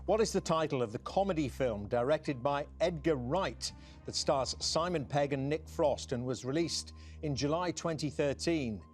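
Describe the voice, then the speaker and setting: Brisk, higher-voiced quiz show host. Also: male voice, soft background music. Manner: brisk and jokey with contestants. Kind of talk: scripted quiz presenting.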